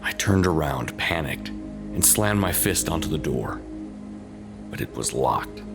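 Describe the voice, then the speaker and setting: Very deep gravelly male horror narrator. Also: lively intonation. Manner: suspenseful, deliberate, dramatic. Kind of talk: slow, eerie scripted first-person horror storytelling. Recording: loud ambient music bed under voice.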